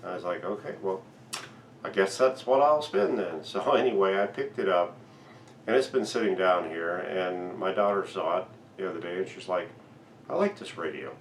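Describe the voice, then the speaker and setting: Low-voiced older man, gravelly. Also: moderate pace, slight background noise. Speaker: host of a vintage radio restoration channel. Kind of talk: casual hobby workshop vlog. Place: cluttered indoor home workshop.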